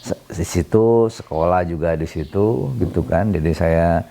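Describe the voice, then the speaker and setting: A middle-aged man from Bandung, explaining council work in an interview, pausing often with fillers and self-corrections. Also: deep voice, gravelly, warm tone, a little hiss or hum. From Bandung, Indonesia. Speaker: city council member, interview guest. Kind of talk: relaxed explanatory interview talk.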